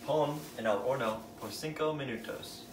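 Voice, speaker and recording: A young man with an American accent and English as his first language, co-hosting a cooking vlog in deliberate learner's Spanish. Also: mid-range voice, over loud music. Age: young adult. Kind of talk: rehearsed recipe narration. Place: indoors, home kitchen and dining room. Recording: echoey home kitchen, loud background noise.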